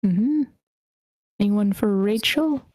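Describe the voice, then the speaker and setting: Woman, group host running a casual roll call. Medium pitch, informal and encouraging, dry acoustic. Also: takes it slowly, a little mellow, no echo. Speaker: host of a script table read. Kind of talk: casual organizing talk, casting roll call.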